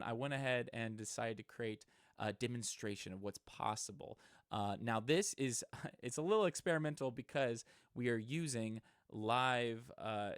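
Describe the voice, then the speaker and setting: A man's voice: medium pitch, clean tone, dry recording, clean studio sound. A man giving an informal, somewhat hesitant explanation in a casual tech demonstration. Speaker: presenter, electronics hobbyist. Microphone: close microphone into an audio interface.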